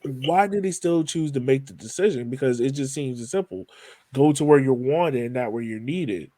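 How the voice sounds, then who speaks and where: A man's voice: mid-range voice, lively intonation, normal speed, dark tone, no room sound, rough recording. A man hosting a podcast interview in a casual way, feeling his way through his questions.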